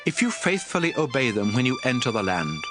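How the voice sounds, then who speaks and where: A man's voice: mid-range voice, sing-song, fairly quick, natural voice. A man playing a stern, aged prophet exhorting his people in a solemn, emphatic dramatized scripture reading. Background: music.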